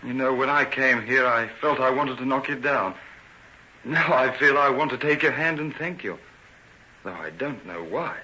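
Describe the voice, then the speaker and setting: Forceful, honourable gentleman. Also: mid-range voice, normal speed. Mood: softened, sincere. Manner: earnest, direct, theatrical. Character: earnest, reproachful gentleman rival in love, honourable. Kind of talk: old-time radio drama acting. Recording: dull, noisy old radio recording.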